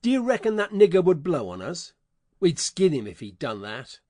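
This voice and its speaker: A man playing a scheming adult con man, speaking in a gruff, folksy, bullying way in an audiobook dramatization. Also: on the high side, very animated, normal speed, mellow, no room sound. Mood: threatening.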